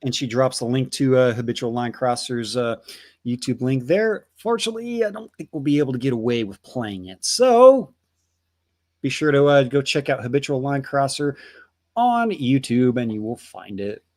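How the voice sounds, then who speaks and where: A man's voice: mid-range voice, very animated, moderate pace, darkish tone. A man hosting a casual livestream, reading out viewer donations and messages in a chatty, swearing manner.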